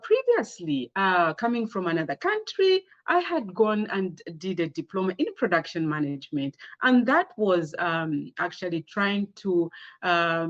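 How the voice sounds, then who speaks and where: Woman, Zambian accent, medium pitch, informal presenter, asks listeners questions, slightly lo-fi sound. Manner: conversational, often addressing the listeners with rhetorical questions. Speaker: speaker sharing her career story with girls.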